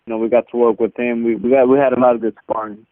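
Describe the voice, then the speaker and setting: A man speaking as a boxer on a press call, answering casually and haltingly with repeated words. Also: medium pitch, level tone, no echo. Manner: halting, repeats words, peppers talk with "you know" and "man". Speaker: boxer being interviewed. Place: phone line on a teleconference. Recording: narrow muffled telephone conference line.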